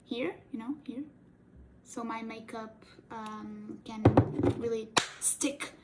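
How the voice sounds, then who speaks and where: A woman's voice: fairly high pitch, steady tone, slow, heavy background noise. A young woman whose first language is Portuguese, casually rambling and joking while doing a makeup tutorial, often correcting her own words. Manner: rambling, playful, self-correcting, giggly. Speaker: cosplay makeup vlogger.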